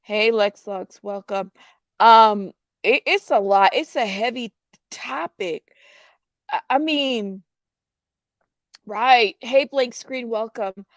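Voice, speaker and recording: A woman hosting a casual live news commentary, chatting to viewers in an informal, halting way with emphatic repetition. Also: fairly high pitch, no echo.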